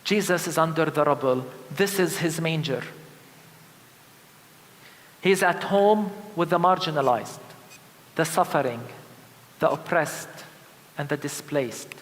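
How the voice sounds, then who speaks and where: A man from Palestine preaching a sermon of lament as a pastor, solemn and emphatic, with deliberate repeated phrases. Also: on the high side, fairly bright tone. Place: large indoor church. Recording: reverberant church hall sound.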